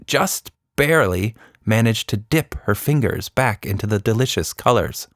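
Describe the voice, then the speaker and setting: A man's voice: on the low side, no room sound, clean studio sound. A man narrating a children's story as the host of a story podcast.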